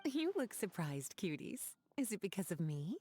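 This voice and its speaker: A video game sorceress played by a woman speaks playfully and teasingly. Her mid-range voice swings lightly up and down, flirtatious and unhurried. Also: mid-range voice, big pitch swings, warm tone, a little hiss or hum, natural voice. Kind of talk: video game character acting.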